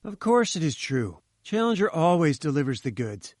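A man voicing a cheerful young male journalist who talks in a brisk, eager, confiding way. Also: fairly high pitch, very animated, a little mellow, dry recording, studio quality.

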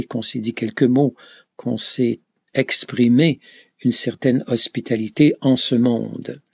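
Man, gravelly, hesitant, many filler sounds, slow delivery. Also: medium pitch, darkish tone, no echo, studio quality. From Canada. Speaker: pilgrim recounting a journey. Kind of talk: reflective travel account.

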